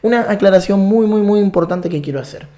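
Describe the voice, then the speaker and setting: A man's voice: sits high, fairly quick, mellow. A man with an Argentine accent giving an online programming lesson, explaining in a friendly way with frequent "eh" fillers. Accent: Argentine Spanish. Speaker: programming course instructor. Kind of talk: online course lecture.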